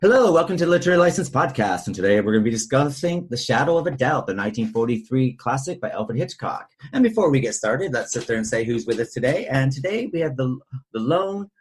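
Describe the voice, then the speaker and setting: A man's voice: medium pitch, big pitch swings, fast. A man hosting a podcast in a casual, chatty style. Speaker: podcast host. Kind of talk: casual chat.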